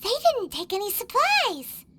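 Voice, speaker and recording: A woman voicing a tiny, childlike, curious and excitable fairy companion in an anime-style video game, squeaky and full of wide-eyed questions. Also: measured pace, background noise, heavily acted. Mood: curious, puzzled. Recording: music playing loudly behind the voice.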